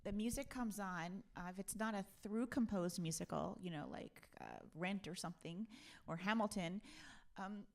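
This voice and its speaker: A woman's voice: medium pitch, normal speed. A woman hosting a casual live stream, chatting in a playful, conversational way. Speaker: live stream host and musician.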